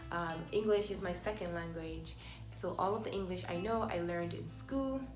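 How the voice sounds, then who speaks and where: A woman's voice: lowish voice, normal speed, echoey room, music in the background. A woman in her thirties from Nigeria with a Nigerian accent and Igbo as her first language, chatting in a casual vlog with many fillers and restarts. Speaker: vlogger.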